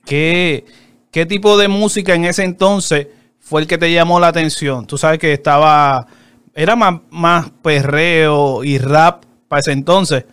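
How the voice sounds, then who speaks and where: A man hosting a casual interview show, opening with a lively promo and chatting loosely with his guest. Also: a little high, clean tone, no room sound. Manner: lively, upbeat promo delivery with fast asides.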